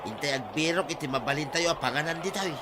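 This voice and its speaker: A man's voice: a little high, expressive, fast, natural voice. A man playing a chatty Ilocano buddy, noisy background. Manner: familiar, friendly banter. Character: chatty male village friend. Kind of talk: radio drama acting.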